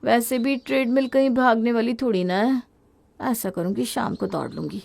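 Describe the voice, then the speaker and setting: A woman's voice: a little high, moderate pace, vocal fry, a little mellow. A woman voicing a headstrong young Indian housewife in a family story, exclamatory, complaining and defensive. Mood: weary, grumbling. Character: headstrong gadget-obsessed young Indian housewife, easily fed up. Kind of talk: dramatized Hindi family story.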